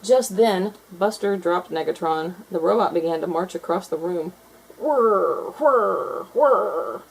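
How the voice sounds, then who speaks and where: A woman reading a children's storybook aloud in expressive narration. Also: on the low side, normal speed, breathy edge, poor audio.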